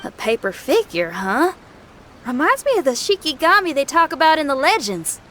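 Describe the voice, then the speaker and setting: A spirited young woman musician. Her voice is high and very bright, swinging in lively, folksy phrases like a game adventurer. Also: normal speed, a little hiss or hum. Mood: intrigued. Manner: folksy, exuberant. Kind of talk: animated game character acting.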